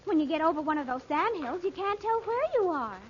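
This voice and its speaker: An actress playing an excitable, curious sixteen-year-old girl, quick to take offense, in an old radio adventure serial. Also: extremely high pitch. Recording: dull, noisy vintage radio recording.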